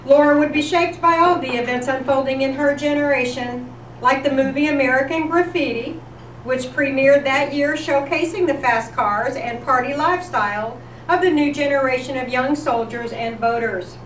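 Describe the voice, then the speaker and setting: High-voiced woman narrating in an echoey room. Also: moderate pace. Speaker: presenter at a volunteer appreciation event. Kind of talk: scripted narration of nostalgic local history. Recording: echoey room, distant mic, background noise.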